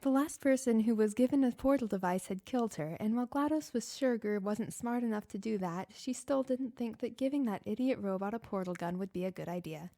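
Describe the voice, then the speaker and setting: A woman reading a fan-written story aloud in a steady, plain narrating voice. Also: a little high, a little fast, few pauses. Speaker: fanfiction reader.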